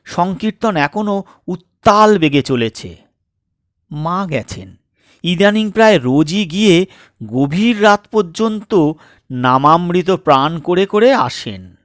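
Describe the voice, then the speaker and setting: A man narrating a scripted comic story in a dramatic, theatrical way with suspenseful pauses. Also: sits high, lively intonation, no room sound, studio quality. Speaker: storyteller and channel host.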